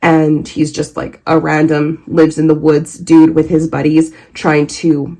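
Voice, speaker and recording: Young woman vlogger, hoarse and crackly from a cold, lowish voice, chatty and opinionated. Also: completely flat delivery, measured pace, warm tone. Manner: chatty, opinionated, says "like" a lot. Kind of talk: casual movie review to camera.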